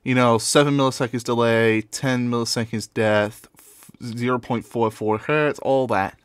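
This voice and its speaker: A man presenting a software tutorial in an offhand, informal way, as a casual voice-over. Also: medium pitch, steady tone, moderate pace, smooth, no echo.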